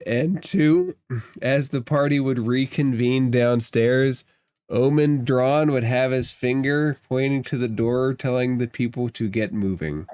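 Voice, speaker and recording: Slow, clear-voiced male game master. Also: medium pitch, a little mellow, no echo. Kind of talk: narrating a tabletop roleplaying game.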